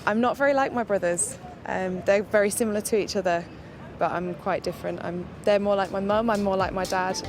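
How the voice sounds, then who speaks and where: A woman's voice: mid-range voice, fairly quick, music in the background. A woman casually answering an interviewer's questions outdoors in a city. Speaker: street interviewee. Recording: clear outdoor urban traffic noise.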